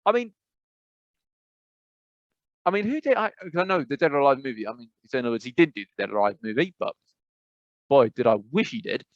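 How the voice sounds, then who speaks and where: A man with an English accent co-hosting a podcast, rambling and animated, with emphatic repetitions. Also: mid-range voice, fairly quick, pauses often, no room sound, dull audio. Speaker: podcast co-host. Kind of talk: casual chat.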